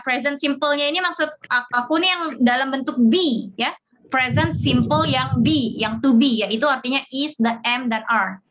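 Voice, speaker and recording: A woman's voice: high-pitched, fairly bright tone. A woman with an Indonesian accent teaching English grammar informally, explaining and repeating example sentences. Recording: dull audio with clear background noise.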